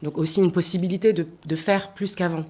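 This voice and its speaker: A woman in her thirties, from Tunisia, speaks with a slightly low voice. She answers interview questions with care, often pausing to hunt for words and restarting her sentences. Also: steady tone, darkish tone. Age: 30s. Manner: thoughtful, many hesitations and restarts. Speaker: singer-songwriter guest.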